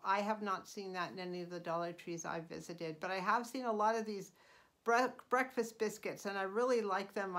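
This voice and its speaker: A middle-aged woman talks over her own video in a relaxed, chatty way. She is unhurried and fills pauses with ums and uhs. Her voice sits at an ordinary pitch for a woman. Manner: chatty, unhurried, frequent uh and um, light laughs. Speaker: channel host. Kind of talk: casual vlog voice-over.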